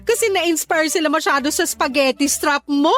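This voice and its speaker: A woman's voice: high voice, moderate pace, a bit bright. An actress voicing a proud, competitive middle-aged mother who bickers defensively in a radio drama. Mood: bickering.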